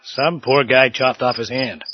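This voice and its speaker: An actor playing a curt, evasive, easily irritated police officer in a comedy audio drama. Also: male voice, mid-range voice, fairly even intonation, measured pace, dry recording, lightly acted. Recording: muffled lo-fi, loud cricket-like night noise.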